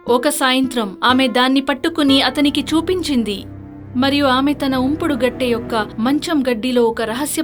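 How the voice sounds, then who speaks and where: A woman tells a children's fairy tale as a scripted narrator. Her voice is notably high-pitched, with music playing behind it.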